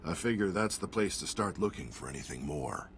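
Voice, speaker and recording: An actor playing an earnest, plainspoken frontier militia leader in a video game. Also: male voice, sits low, big pitch swings, fairly quick, a little hiss or hum.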